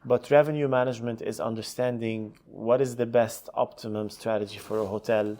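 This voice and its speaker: Male, middle-aged, mid-pitched voice, conversational podcast guest. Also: fairly even intonation, fairly quick, warm tone. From Lebanon. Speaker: podcast guest, hotel executive. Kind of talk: conversational interview answers, career storytelling.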